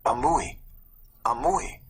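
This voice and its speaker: A man's voice: medium pitch, animated, slow, husky, voice pushed up, full character voice. A man voicing an eager young boy who beckons in short, urgent calls, in a storybook reading. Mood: urging. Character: eager young Quechua boy calling travelers onward.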